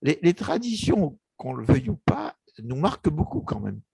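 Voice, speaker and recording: Gravelly, husky older man, hesitant. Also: a little high, huge pitch swings, moderate pace, dry recording. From Egypt. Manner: hesitant, many restarts and fillers, warm storytelling. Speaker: author interviewed about his book. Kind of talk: casual interview, personal memories.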